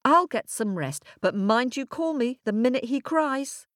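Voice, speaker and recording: A woman voicing a tired, caring mother in a storybook, with a weary, gentle manner. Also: high voice, lively intonation, unhurried, no echo, studio quality, voice pushed up. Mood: weary, relenting. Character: tired, caring, worried mother who gives in.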